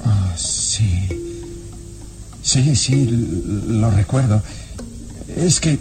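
An actor in a radio drama playing a nervous, evasive gentleman suspect, stammering theatrically and defending himself. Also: on the low side, animated, drawn-out words, music in the background. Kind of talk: classic Latin American radio drama acting.

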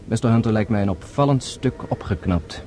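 An actor playing a brisk, polite, reassuring airport official in a radio play. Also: male voice, lowish voice, warm tone, slight background noise, natural voice.

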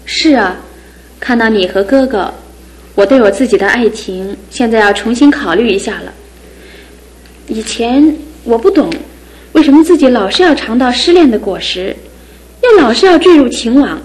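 Actress as a playful young sister, radio drama, bright and teasing, fairly high voice. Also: female voice, expressive, normal speed, slight background noise, natural voice, poor audio. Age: young adult. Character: lively young woman, groom's younger sister. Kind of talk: 1970s radio drama acting.

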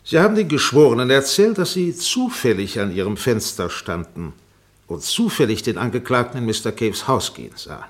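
An actor playing a sharp, sarcastic defense barrister in a radio courtroom drama, pressing, insinuating and commanding. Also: male voice, medium pitch, very animated, a little fast, close to an everyday voice. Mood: needling, insistent. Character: sharp, sarcastic male defense barrister cross-examining a witness.

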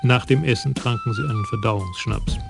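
Man narrating a radio drama, calm and literary, low gravelly voice, clear music underneath. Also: moderate pace. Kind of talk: scripted narration.

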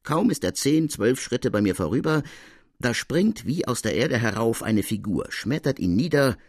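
A man's voice: mid-range voice, big pitch swings, moderate pace, darkish tone, no room sound, studio quality. A man voicing an agitated, bitter police officer in dramatic, emphatic storytelling for an audiobook.

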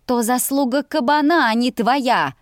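A woman voicing a proud, fiery young heroine, sharp, scolding and defiant, in an audiobook story. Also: high voice, lively intonation, a little slow, no echo, clean studio sound, voice pushed up. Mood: angry, defiant.

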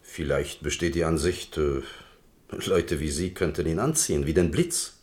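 A man's voice: very deep voice, moderate pace, darkish tone. A man playing a courteous, evasive prison director in a radio play, with a French accent and a polite, hesitant manner. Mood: evasive, apologetic. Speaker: actor in a radio drama. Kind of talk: German radio play acting.

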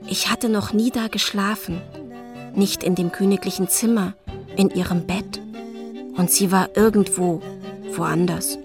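A woman's voice: medium pitch, lightly acted. An actress playing a brooding confidante of a queen, narrating an intimate, reflective monologue in a radio play. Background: music.

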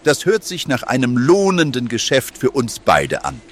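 A man's voice: mid-range voice, huge pitch swings, moderate pace. Man playing a smug, swooping music mogul. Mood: pleased, businesslike. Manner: theatrical, self-important. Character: smug, greedy male boss of a music publishing house. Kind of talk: comedy radio play acting.

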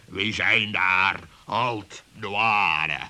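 A man voicing a stern polar bear customs officer in a children's radio play, gruff, blunt and officious. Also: fairly low pitch, extremely slow, sharp tone, exaggerated voice.

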